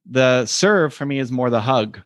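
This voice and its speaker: A man's voice: expressive, measured pace, fairly bright tone, no room sound, studio quality. A man as a podcast guest, answering earnestly and acting out quoted voices. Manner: earnest, acts out quoted voices with rising pitch. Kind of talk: reflective conversational interview answers.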